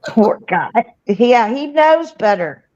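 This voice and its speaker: Slow, high-pitched woman on dull stream audio. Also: big pitch swings, darkish tone. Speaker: livestream show participant. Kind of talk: casual chat, swearing.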